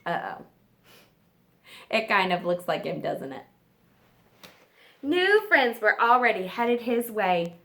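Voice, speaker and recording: A woman reads aloud like a kindergarten teacher at storytime. Her voice is bright and very lively, and she takes her time, explaining and putting questions to the children. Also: mid-range voice, takes it slowly, pauses often. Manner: expressive, asks listeners questions. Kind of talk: children's read-aloud, explaining to young listeners.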